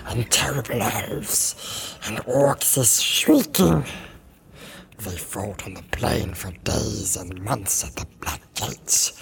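A man's voice: fairly low pitch, fairly bright tone, heavily acted. A man voicing a wretched, creepy creature in an audiobook, hissing and muttering in broken, repetitive phrases. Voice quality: hissing, creaky whisper. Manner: broken, repetitive muttering, refers to himself in third person. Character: wretched, creepy, cackling male marsh creature guide. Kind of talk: dramatic audiobook character reading.

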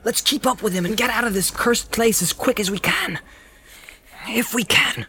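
A man's voice: high-pitched, normal speed, bright, forceful tone, slight background noise, pitched above the performer's own voice. A man voicing an anxious, plain-spoken, loyal servant in an audiobook. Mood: urgent, hushed. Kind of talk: dramatic audiobook character reading.

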